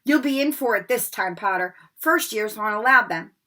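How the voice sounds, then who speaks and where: A woman's voice: medium pitch, animated, normal speed, pushed and bright, recorded from a distance. A woman reading aloud, voicing a spiteful, arrogant schoolboy bully with a sneering manner.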